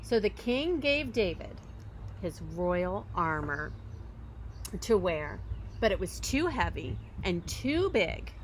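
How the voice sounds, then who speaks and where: A woman reading a children's story aloud expressively, with dramatic emphasis, pauses and occasional hushed phrasing. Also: on the low side, very animated, slight background noise. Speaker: storybook reader.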